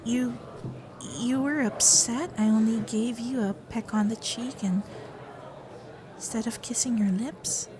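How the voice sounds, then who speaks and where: A woman voicing a sweet, playful fox-spirit girlfriend in an ASMR roleplay, coy and affectionate, with soft hesitations. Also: on the high side, fairly even intonation, measured pace, lightly acted. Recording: festival crowd and fireworks sound effects.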